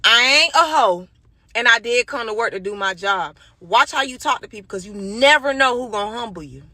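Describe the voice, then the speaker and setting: A woman's voice: a little high, expressive, a little fast, pushed and bright, no room sound. A sassy, emphatic woman telling a personal story casually, with some swearing.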